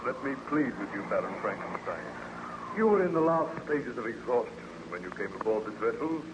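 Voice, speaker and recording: A man playing a steady, protective ship's captain in an old-time radio drama, formal, earnest and reassuring. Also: on the low side, fairly quick. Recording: dull, noisy old radio recording.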